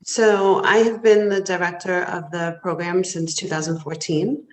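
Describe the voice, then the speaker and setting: A woman around fifty, a program director, speaks emphatically and admiringly. Her voice sits very low for a woman, and it is recorded in an echoey room. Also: steady tone, a little slow. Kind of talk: interview answer.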